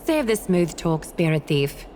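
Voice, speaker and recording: A woman's voice: fairly low pitch, big pitch swings, unhurried, noisy background. A woman voicing a cold, shrewd demigod noblewoman in a video game, formal, commanding and dryly sardonic. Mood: stern, suspicious. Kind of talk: dramatic game character voice acting. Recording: music under the voice.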